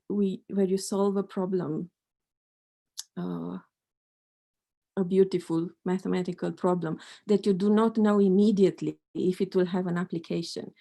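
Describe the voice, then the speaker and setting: A woman's voice: medium pitch, level tone, normal speed, gravelly, dark tone. A middle-aged woman from Romania, a mathematics professor, answering questions in a thoughtful, hesitant way with many restarts. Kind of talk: panel talk answering questions.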